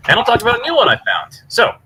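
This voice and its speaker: Bright-voiced man over noisy voice chat. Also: very high-pitched, wildly swooping pitch, quick pace. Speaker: podcast co-host reviewing fan fiction. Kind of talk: casual review chat with story summaries. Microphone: computer mic on online voice chat. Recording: clear background noise, push-to-talk online voice chat.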